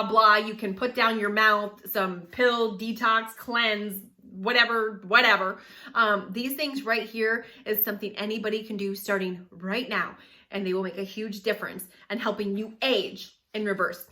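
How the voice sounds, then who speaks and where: A woman of about forty chats straight to her viewers in a lively, animated way, often breaking into laughter. Her voice sits high and sounds bright and forward. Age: 40s. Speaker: vlogger. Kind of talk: casual talk to camera.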